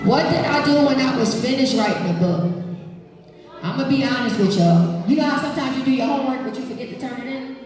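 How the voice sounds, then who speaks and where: Female children's author, high voice, slangy and direct with pupils, recorded at a distance in a reverberant, noisy school hall. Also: expressive, fast, darkish tone. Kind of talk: live question-and-answer talk to schoolchildren. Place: large echoing room in a school. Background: children's crowd noise.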